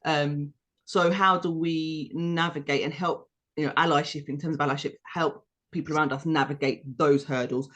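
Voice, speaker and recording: A British woman co-hosting a podcast, explaining her views in a measured, reflective way and building her points step by step. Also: deep voice, steady tone, a bit bright. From United Kingdom. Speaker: podcast co-host.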